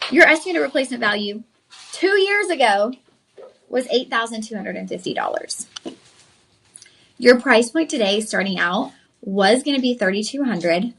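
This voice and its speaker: A woman's voice: fairly high pitch, vocal fry, a bit bright, breathy edge, rough recording. A young woman, hosting a live shopping stream, effusive and chatty, calling viewers y'all. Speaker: shopping channel host. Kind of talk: live-stream sales pitch.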